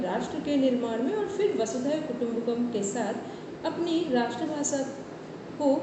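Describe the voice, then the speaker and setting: A woman, a college teacher invited as guest speaker, giving a formal, flowing speech at an online event. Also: high voice. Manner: formal, flowing, lists many words in a row. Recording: echoey room from a distance, noisy.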